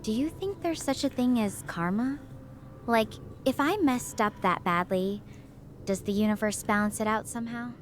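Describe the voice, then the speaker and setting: A woman playing a stranded, guilt-ridden young astrophysics researcher in a sci-fi drama, speaking softly and hesitantly in a confiding way. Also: a little high, moderate pace, a little hiss or hum, lightly acted. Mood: reflective, gentle. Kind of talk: conversational sci-fi roleplay.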